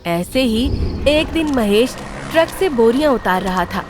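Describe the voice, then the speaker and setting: A woman's voice: fairly high pitch, lively intonation, a little slow, background noise. A woman giving a scripted narration of a children's moral story.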